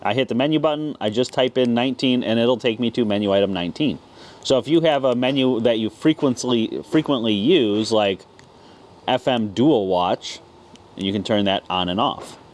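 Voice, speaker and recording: A man's voice: mid-range voice, moderate pace, darkish tone. A man giving a casual, plain-spoken, step-by-step product tutorial as a video host. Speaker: ham radio video host. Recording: clear background noise.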